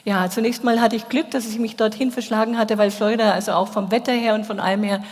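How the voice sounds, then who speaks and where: A woman's voice: a little high, steady tone, fast, darkish tone. A woman working as an interpreter, rendering a speech into German at a congress. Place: large hall. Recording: long hall reverb.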